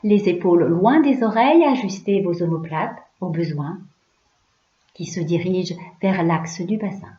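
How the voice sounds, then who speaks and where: A woman guides a relaxation session as a yoga teacher. Her voice is soft-edged and mellow, a little low, and she moves very slowly through short, soothing instructions with pauses between them. The sound is slightly dull. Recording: roomy, slightly dull recording.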